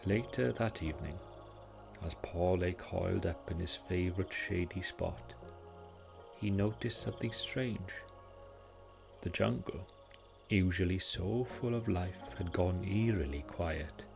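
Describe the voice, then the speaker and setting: A Welsh man gently narrating a scripted bedtime story in a soothing, calming way, as its host. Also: low-pitched, unhurried, gravelly. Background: music.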